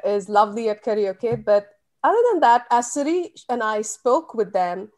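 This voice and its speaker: A woman's voice: mid-range voice, darkish tone. A woman co-hosting a podcast, introducing the guests with enthusiasm. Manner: enthusiastic welcoming. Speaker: guest co-host.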